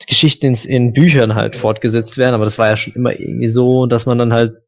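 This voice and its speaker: A man's voice: mid-range voice, fairly even intonation, fast, vocal fry. A man in a casual discussion, rambling loosely with many fillers. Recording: dull lo-fi audio.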